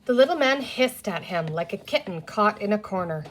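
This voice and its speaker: A woman's voice: medium pitch, expressive, normal speed, slight background noise. A woman reading a children's book aloud as the narrator of the story. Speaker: storybook reader.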